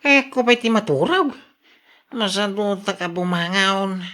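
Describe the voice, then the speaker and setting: A woman's voice: mid-range voice, expressive, measured pace, a bit bright. A woman with an Ilocano accent playing a lazy, whiny, quarrelsome husband in a radio drama. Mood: lazy, irritated.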